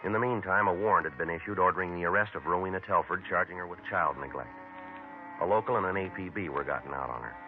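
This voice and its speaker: An actor playing a terse police detective who narrates a case in a clipped, deadpan, matter-of-fact way, in a 1950s radio crime drama. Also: male voice, low-pitched, quick pace, faint music behind. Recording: tinny vintage radio broadcast.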